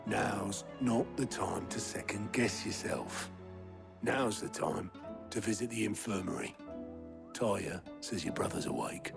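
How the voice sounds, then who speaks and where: A gruff male ally with a low, gravelly voice. He is blunt, colloquial and drily funny, speaking over clear music in a fantasy game drama. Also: measured pace. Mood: wry, encouraging. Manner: blunt, dry humour, colloquial. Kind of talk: video game dramatic acting.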